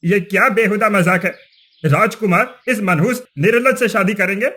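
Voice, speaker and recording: A man's voice: high-pitched, moderate pace, bright, forceful tone, breathy edge, full character voice. A man voicing a cruel, greedy village headman in a cartoon story, sneering, accusing and blustering. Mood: indignant. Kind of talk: cartoon moral story voice acting.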